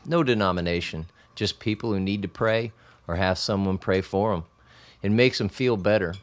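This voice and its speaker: An easygoing, plain-spoken man who runs a safehouse, played by an actor in a radio play. His voice sits low. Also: normal speed, close to an everyday voice. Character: folksy man running a safehouse. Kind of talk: audio drama acting.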